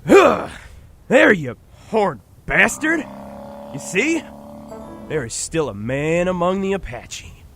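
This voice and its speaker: Man playing a brash, bright-voiced proud young hunter. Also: sits high, sing-song, slow pace, creaky, faint music behind, heavily acted. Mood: triumphant. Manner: brash, folksy Western slang. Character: proud, hot-tempered young Apache hunter, defiant and scornful. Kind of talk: dramatic comic-book audio drama acting.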